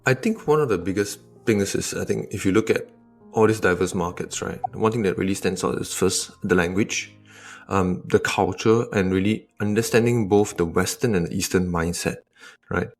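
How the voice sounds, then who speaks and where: A man's voice: deep voice, moderate pace, creaky, soft background music. A man from Singapore, answering interview questions thoughtfully, often restarting and adding tag questions. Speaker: investor guest.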